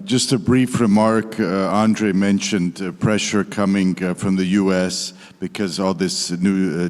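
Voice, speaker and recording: A man from Croatia, speaking as a politician on a conference panel, halting with many fillers and restarts but blunt in his opinions. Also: fairly low pitch. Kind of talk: panel discussion remarks. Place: conference hall. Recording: long reverb of a conference hall.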